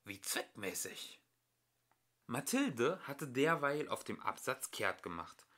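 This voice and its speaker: Animated man reading a children's story. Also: medium pitch, unhurried. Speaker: amateur audiobook reader. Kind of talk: expressive children's storybook narration.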